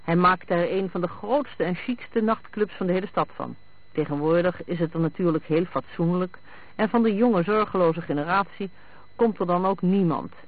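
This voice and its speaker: A man voicing a calm, urbane, evasive husband in a read detective story, unhurried and teasing. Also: high-pitched, no room sound. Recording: thin, phone-like band-limited sound.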